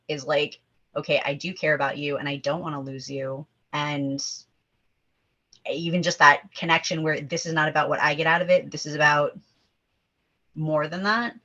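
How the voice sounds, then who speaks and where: A woman's voice: sits low, fairly bright tone. A woman in a casual panel discussion, speaking in an emphatic, opinionated way through slightly dull lo-fi audio. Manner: emphatic, opinionated, talking in numbered points. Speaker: table read performer.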